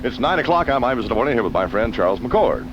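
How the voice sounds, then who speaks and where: A man hosting a morning radio show in casual, teasing, rapid-fire banter with callers and co-hosts. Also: medium pitch, sing-song. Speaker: radio host. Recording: muffled old AM radio with hiss.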